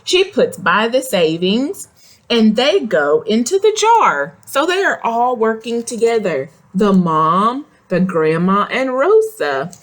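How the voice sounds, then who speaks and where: A woman's voice: fairly high pitch, sing-song, slow. A woman reading a picture book aloud to young children, expressively, with simple questions and explanatory asides. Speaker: read-aloud storyteller.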